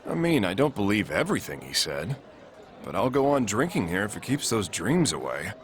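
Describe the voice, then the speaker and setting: An actor playing a relaxed, reassuring bar regular in a game cutscene, casual. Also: male voice, fairly low pitch, normal speed. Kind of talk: video game cutscene acting. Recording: crowd clearly behind the voice.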